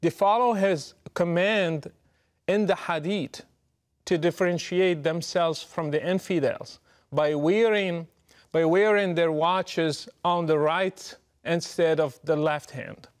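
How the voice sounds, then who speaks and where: A middle-aged man from Morocco, giving a prepared, deliberate and emphatic address straight to the listener. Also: fairly high pitch. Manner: measured, emphatic, deliberate delivery. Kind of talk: prepared direct address to camera.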